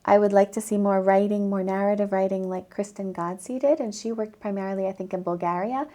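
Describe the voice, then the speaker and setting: A woman's voice: medium pitch, fairly even intonation, a little fast, mellow. A middle-aged American woman, an anthropologist, answering interview questions in a reflective, enthusiastic way and laughing easily. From United States. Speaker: anthropologist guest.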